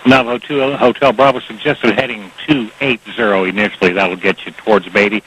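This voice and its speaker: Man, air traffic controller, husky, fast-paced radio instructions. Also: on the low side, steady tone, a bit bright, low-quality recording. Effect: aviation radio.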